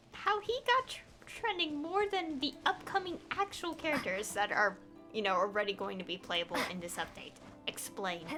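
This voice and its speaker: A woman's voice: medium pitch, bright, forceful tone. A woman game streamer chatting casually and rambling, with an exasperated, opinionated, pleading emphasis. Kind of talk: casual rambling livestream chat.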